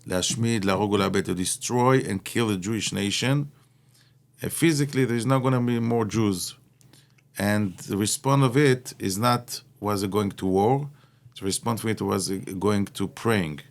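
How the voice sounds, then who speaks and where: Middle-aged Israeli-born rabbi, gravelly low voice, halting delivery full of fillers. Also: male voice. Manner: hesitant, many fillers, mixes in Hebrew terms. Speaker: rabbi, guest speaker. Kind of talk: Torah talk in conversation.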